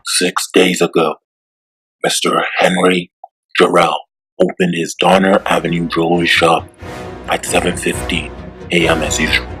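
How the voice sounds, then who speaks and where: Man acting a very deep, grim masked vigilante. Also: flat delivery, fairly bright tone, rough recording. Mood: grim, measured. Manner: menacing, terse, deliberate. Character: grim brooding male masked vigilante detective. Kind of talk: dramatic superhero comic acting. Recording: music behind the voice.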